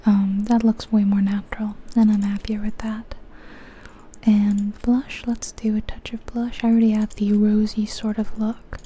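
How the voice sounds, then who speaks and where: Woman, whispered ASMR game commentary, slow and even, faint noise behind, musing to herself. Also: mid-range voice. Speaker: ASMR creator.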